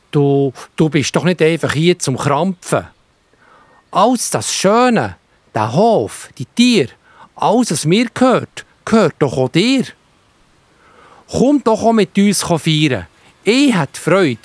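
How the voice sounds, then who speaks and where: A man's voice: on the high side, sing-song, slow, pauses often, dry recording, exaggerated voice. A man playing a loving, forgiving father in a Swiss German children's Bible radio play, heartfelt and joyful. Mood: tender, reassuring.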